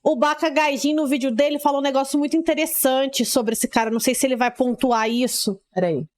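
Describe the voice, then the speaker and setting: Woman streamer, high voice, chatty, hesitant, disgusted asides. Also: a little fast. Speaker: streamer reacting. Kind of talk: casual reaction chat.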